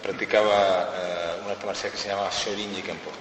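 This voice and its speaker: A man, a martial arts instructor, answering interview questions in measured, reflective sentences for an interpreter. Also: low voice, level tone, a bit bright, recorded from a distance. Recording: echoey hall, off-mic, faint noise.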